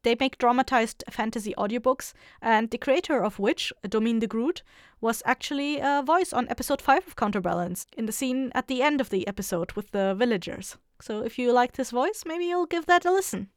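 Casual podcast lady doing announcements, clean highish voice, dry sound. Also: lively intonation, a little fast, no echo. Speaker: podcast creator and host.

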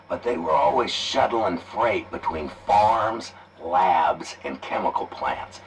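Lively, gossipy male trucker. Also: on the low side, gravelly, recorded from a distance, a little hiss or hum, full character voice, low-quality recording. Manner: folksy, rambling, animated storytelling. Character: colorful, gossipy male trucker, jokey conspiracy theorist. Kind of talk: audio fiction drama acting.

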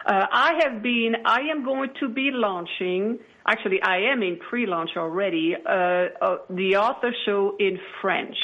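A woman's voice: mid-range voice, a little slow, few pauses. A woman speaking as an interview guest in a conversational, assertive and emphatic way. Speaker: podcast producer, interview guest. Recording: dull, lo-fi radio-like audio.

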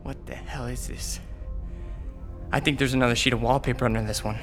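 A man's voice: mid-range voice, steady tone, a little fast, a bit bright, faint music behind, lightly acted. Man acting an anxious, bickering young man. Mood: alarmed. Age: young adult. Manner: nervy, defensive. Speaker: actor in audio drama. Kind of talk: modern horror radio drama acting.

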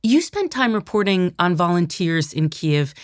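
A woman's voice: lowish voice, sing-song, normal speed, dry recording, studio quality. A woman hosting a news podcast, putting direct interview questions to a guest.

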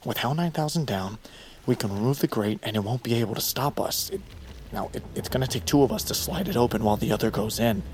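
A man's voice: medium pitch, animated, quick pace, darkish tone, a little hiss or hum, natural voice. A man acting in an audio drama as a brave, stubborn volunteer, speaking informally and naturalistically. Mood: skeptical, resigned. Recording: music bed behind. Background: music.

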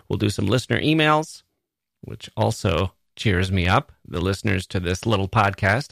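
A man's voice: on the low side, gravelly, fairly bright tone, dry recording, clean studio sound. Earnest man hosting a podcast. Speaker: podcast host. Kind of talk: reflective monologue to listeners.

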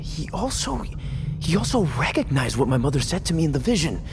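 A man's voice: fairly high pitch, very animated, fairly quick. Hesitant young nobleman, noisy ambience. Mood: puzzled. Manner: hesitant, questioning. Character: earnest, uncertain young nobleman on a quest. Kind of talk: audio fiction drama acting.

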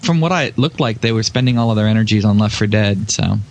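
A man's voice: lowish voice, fast. A man chatting casually as a podcast panelist, with a joking, self-deprecating manner. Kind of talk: casual chat.

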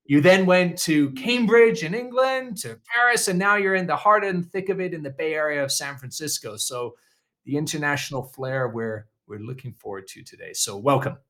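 Upbeat male podcast host, higher voice. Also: normal speed, a bit bright. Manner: upbeat, enthusiastic, punning. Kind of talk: podcast interview.

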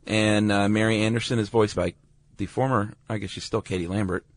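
A man's voice: lowish voice, dry recording. A man co-hosting a podcast, talking casually with frequent fillers. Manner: relaxed, halting with many fillers. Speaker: podcast co-host. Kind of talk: casual chat.

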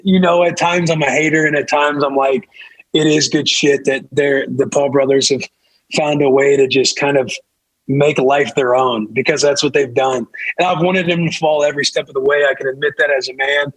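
A young man with a raspy, husky voice sitting in as a podcast guest, his pitch a touch high for a man. He tells stories in a loose, candid way, full of fillers and restarts. The sound is dry and close. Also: fairly even intonation, normal speed, no room sound, low-quality recording. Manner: candid, laid-back storytelling with frequent fillers. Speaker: podcast guest, former football player. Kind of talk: casual chat.

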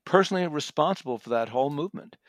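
A man's voice: on the high side, expressive, racing, no echo. A man chatting as a guest reviewer about books in a conversational way. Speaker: book reviewer and contributor. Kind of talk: conversational book review discussion.

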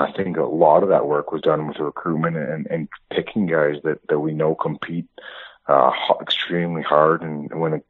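A man, a hockey coach, being interviewed over the phone, talking in long rambling runs with many restarts. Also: a bass voice. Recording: tinny phone line.

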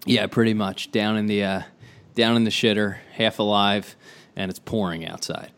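Tired, deep-voiced man hosting a podcast. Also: steady tone, normal speed, a bit bright, smooth. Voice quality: deep morning voice. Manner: chatty, loose, many fillers. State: tired, short on sleep. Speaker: podcast host. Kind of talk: casual sports podcast talk.

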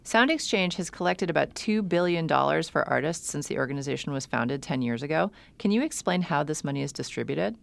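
A woman hosts a radio interview, putting questions to her guests with curiosity. Her mid-pitched voice has a gravelly edge. It is recorded close and dry. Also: animated, a little fast, hardly any pauses, no room sound. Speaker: radio show host.

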